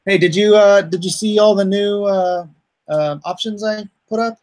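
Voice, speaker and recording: A man's voice: high-pitched, steady tone, moderate pace, a little mellow. A man hosting a casual livestream as a comic creator, rambling and thinking aloud as he talks through his campaign.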